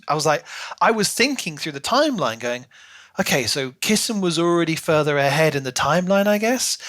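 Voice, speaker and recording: A man with a very bright, forward voice pitched a little high chats as a podcast co-host. His book talk is casual and animated, slipping into acted-out imagined remarks. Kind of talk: casual book discussion chat.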